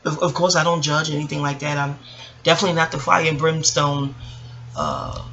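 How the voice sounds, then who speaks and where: American lady just chatting to her viewers, bright gravelly voice, wanders off on tangents. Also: low-pitched, expressive, a little fast, muffled recording, a little hiss or hum. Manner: rambling and digressive, addressing listeners directly. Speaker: vlogger. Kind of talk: casual reflective storytelling monologue.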